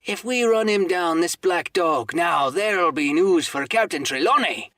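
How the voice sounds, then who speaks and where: A man voicing a hearty, cunning one-legged pirate landlord, booming and theatrical. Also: fairly even intonation, moderate pace, fairly bright tone, dry recording, studio quality. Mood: excited.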